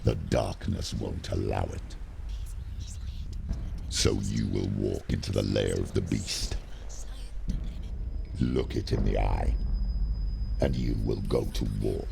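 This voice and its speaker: An actor voicing a menacing dark demonic spirit that narrates a game in solemn verse with a slow, growling delivery. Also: male voice, fairly even intonation, background noise, heavily acted. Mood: grave, brooding. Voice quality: very deep, gravelly growl. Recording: loud music over the voice.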